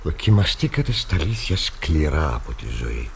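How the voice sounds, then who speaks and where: An actor playing a moralistic, easily shocked pastor in radio theatre, with a husky voice and a pompous, flustered manner. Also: male voice, rumbling low, very animated, slight background noise, exaggerated voice. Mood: solemn, probing. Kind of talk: classic Greek radio theatre acting.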